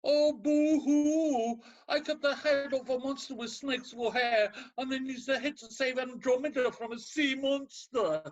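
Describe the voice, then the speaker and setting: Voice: high voice, steady tone, a little slow, few pauses, highs cut off. An actor playing a scornful, impatient counsellor in a comedic radio play, speaking with sarcastic exasperation. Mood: mocking, exasperated. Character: scornful, impatient, unsympathetic ancient Greek counsellor.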